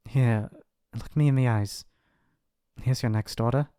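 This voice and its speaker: A male actor voices a young mafia heir who is bashful, devoted and softly possessive. He talks in an intimate ASMR roleplay style, halting and earnest, at a medium pitch. The sound is close and very dry. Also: steady tone, clear, resonant tone, no echo, lightly acted. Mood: tender, coaxing. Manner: hesitant, earnest, stammering, affectionate. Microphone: close studio mic, dry.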